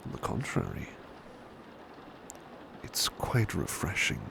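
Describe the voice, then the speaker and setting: A British man playing a devoted, gentle butler in an ASMR roleplay, speaking softly and courteously with hushed, intimate delivery. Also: low voice, full character voice. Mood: wistful, calm. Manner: formal, courteous, hushed and hesitant, with long pauses. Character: devoted, gentle, protective male butler of an estate. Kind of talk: ASMR roleplay, soft intimate speech slipping into whispers. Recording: clear steady rain-like background noise.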